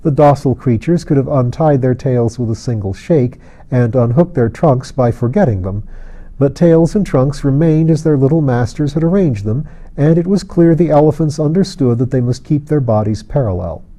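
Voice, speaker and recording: Guy reading a fantasy audiobook, really mellow dark tone, measured storyteller delivery. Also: mid-range voice. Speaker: audiobook narrator. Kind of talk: scripted narration of a fantasy novel.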